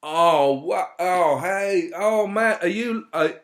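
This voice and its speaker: A man's voice: high voice, slow pace, pitched above the performer's own voice. A man voicing a grinning, laid-back fairy who rambles in drawling hippie slang.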